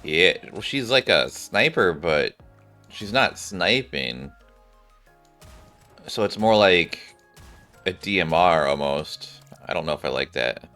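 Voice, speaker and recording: A man's voice: sits low, expressive, slow pace, pauses often, bright, forceful tone, faint music behind. A man streaming a video game, giving casual, rambling commentary as he reacts aloud.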